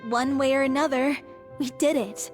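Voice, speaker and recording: A woman's voice: sits high, steady tone, moderate pace, lightly acted. Woman voicing a frail, weary young actress. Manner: soft, tired delivery. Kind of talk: video game voice acting. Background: game music.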